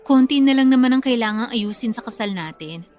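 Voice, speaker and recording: Woman acting a high-voiced, outspoken bride-to-be. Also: lively intonation, brisk, a little mellow, soft background music, natural voice. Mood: offended, exasperated. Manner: playful, prodding, easily offended. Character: outspoken, teasing, quick-tempered bride-to-be. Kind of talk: radio drama dialogue.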